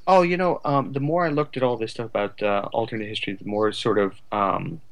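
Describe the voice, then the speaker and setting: Low-pitched man, thoughtful and halting. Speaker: podcast guest, playwright and author. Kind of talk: casual conversational discussion.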